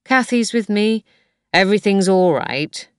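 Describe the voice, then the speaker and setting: A woman reading the lines of a gentle, reassuring husband in a soft, soothing way, as an audiobook. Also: mid-range voice, animated, a little slow, smooth, dry recording, clean studio sound, voice pushed up, lightly acted.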